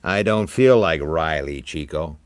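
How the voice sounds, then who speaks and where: A man's voice: extremely low pitch, animated, measured pace, smooth, no room sound, studio quality, pitched below the performer's own voice. A man voicing a subdued, uneasy, discontented man in a dramatic story reading. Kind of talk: dramatic audiobook character voice.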